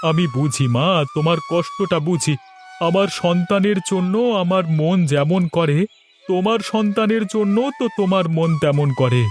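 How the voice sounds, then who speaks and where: A man's voice: sits high, moderate pace, a little mellow, soft background music, lightly acted. A man playing a dutiful, conciliatory adult son in a cartoon, speaking in an earnest, placating way. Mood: earnest, tender. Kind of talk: Bengali cartoon voice acting.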